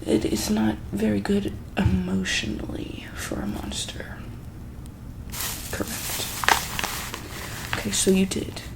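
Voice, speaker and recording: Slow, creaky-voiced woman doing ASMR roleplay. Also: mid-range voice, fairly even intonation, low-quality recording. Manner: slow, hesitant, repeats questions gently. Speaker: ASMR roleplayer as monster hospital examiner. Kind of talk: soft-spoken ASMR medical roleplay.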